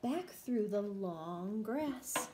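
A young woman, a music teacher, reading a children's picture book aloud in a rhythmic, sing-song, deliberate chant. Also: medium pitch, animated, background noise. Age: young adult. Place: small room at home, indoors.

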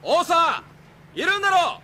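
A man playing a cool, defiant teenage street gang boss in a radio drama, curt and rough-spoken. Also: very high voice, very animated, moderate pace, pushed and bright, a little hiss or hum, exaggerated voice.

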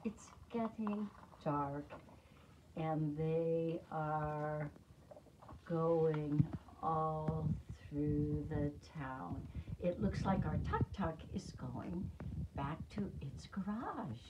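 An older woman, in her sixties, reading aloud to young children as their teacher, with deliberate, expressive, simple phrasing. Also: deep voice, muffled recording. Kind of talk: children's read-aloud storytelling. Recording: noisy amateur room recording with clicks.

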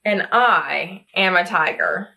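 A woman's voice: fairly low pitch, expressive, slow, creaky, bright, forceful tone, pitched below the performer's own voice, full character voice. A woman voicing a small, boastful, teasing mouse in a picture book who insists it is a tiger. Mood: confident.

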